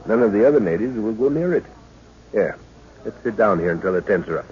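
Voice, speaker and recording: A man playing a middle-aged professor and father leading an expedition, measured and authoritative, in old-time radio drama style. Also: lowish voice, lively intonation, close to an everyday voice. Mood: explaining. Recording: muffled, noisy old radio recording.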